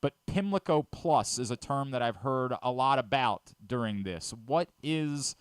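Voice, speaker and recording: A man's voice: medium pitch, animated, a little slow, smooth, dry recording. A man hosting a sports talk radio show, asking wordy, conversational questions.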